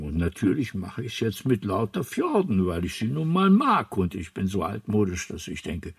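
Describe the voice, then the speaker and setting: A man playing a gentle, old-fashioned elderly planet designer in a radio drama, musing in a halting, wistful way with a husky, breathy voice. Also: fairly low pitch, dry recording, studio quality.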